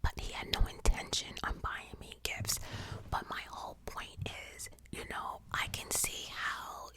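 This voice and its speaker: A woman's voice: a little slow, bright, forceful tone. Whispering woman telling a story. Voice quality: whispering throughout. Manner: casual and profane, many fillers.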